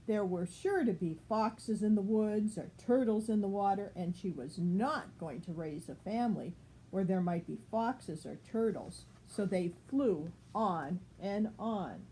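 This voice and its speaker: A woman's voice: mid-range voice, measured pace, warm tone, slight background noise, low-quality recording. An older woman reading a picture book aloud as a grandmother, explaining things simply for a child with casual asides.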